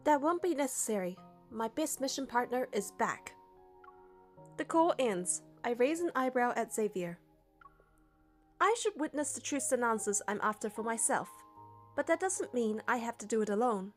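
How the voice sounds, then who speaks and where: High-voiced woman narrating a game story. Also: animated, normal speed. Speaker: narrator and reader of a game playthrough. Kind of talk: scripted story narration with dialogue read aloud. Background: background music.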